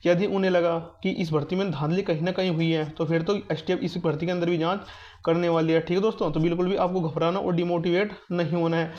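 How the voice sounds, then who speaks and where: A man's voice: fairly high pitch, fairly even intonation, quick pace, a little mellow. A man giving an informal exam update to students, repeating himself to reassure and instruct them. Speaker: exam news and guidance YouTuber. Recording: dull, lo-fi muffled sound.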